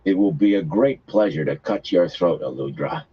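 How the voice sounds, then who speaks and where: A man's voice: sits low, moderate pace, breathy edge, lo-fi sound. Tammuz, a mocking menacing thug, as a man does him. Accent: foreign-sounding. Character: grim menacing male guild enforcer.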